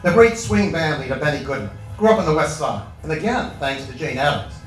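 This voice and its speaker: A man's voice: on the high side, lively intonation, brisk, close to an everyday voice. An American man playing a brash, proud old Chicago union boss in a stage monologue, punchy and colloquial. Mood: enthusiastic. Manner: colloquial, punchy, self-justifying storytelling. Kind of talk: first-person dramatic monologue on stage. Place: echoey event hall before an audience. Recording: echoey hall, distant mic, music.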